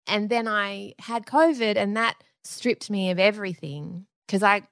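A woman's voice: mid-range voice, gravelly, no room sound, studio quality. A woman sharing a heartfelt personal story candidly in a conversation. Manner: candid, heartfelt, animated in telling.

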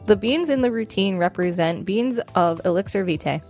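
Woman reading aloud, mid-pitched voice. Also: lively intonation, normal speed, a little mellow, soft background music. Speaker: podcast co-host. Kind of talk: reading an encyclopedia article aloud with casual asides.

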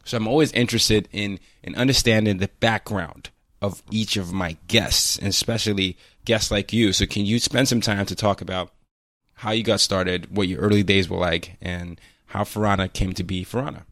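A man's voice: lowish voice, steady tone, moderate pace, fairly bright tone, no room sound, studio quality. A man hosting an interview podcast, chatty and hesitant, with fillers and restarts. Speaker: podcast host. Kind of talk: casual interview chat.

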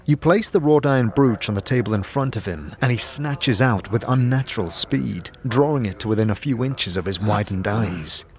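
A man narrating a fantasy audio drama in the second person, with a measured, descriptive storytelling delivery. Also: fairly low pitch. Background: background music.

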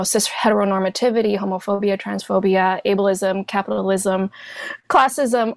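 A woman from Hong Kong whose first language is Cantonese, a therapist guest telling her story in a thoughtful, measured way. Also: medium pitch, gravelly, no echo, studio quality. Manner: thoughtful, measured, speaks in lists. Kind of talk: reflective personal storytelling.

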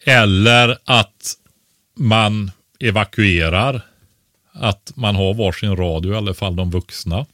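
A man with a fairly deep voice explains things on a podcast in a casual, teacherly way. He often checks in with a short questioning tag. The sound is very dry, with little room in it. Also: pushed and bright, no echo. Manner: didactic, often tags statements with a questioning "va?".

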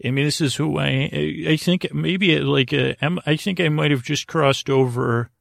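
A man hosting a podcast with a rambling bedtime monologue, halting and meandering, often stammering into false starts. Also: fairly high pitch, dry recording. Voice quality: gravelly, creaky. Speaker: podcast host.

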